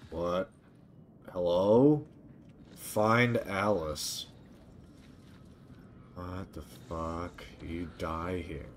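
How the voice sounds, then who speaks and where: Male let's-player, very low voice, muttering and swearing casually, game noise behind. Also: extremely slow, pauses often. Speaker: game streamer. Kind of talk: casual let's play commentary. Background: video game ambience.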